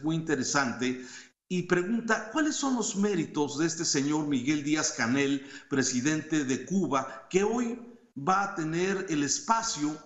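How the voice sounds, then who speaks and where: A man's voice: a little high, a bit bright, reverberant. Middle-aged man reviewing columns. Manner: measured, reads quotes aloud with small hesitations. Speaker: news commentator reviewing opinion columns. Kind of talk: reading and commenting on newspaper columns. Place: home study with bookshelves. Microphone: headset mic on a video call.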